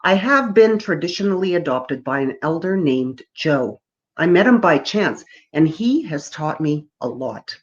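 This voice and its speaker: A woman voicing a guarded, quietly defensive woman who gives reserved but candid answers in a story reading. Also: low voice, animated, a little slow, dull audio.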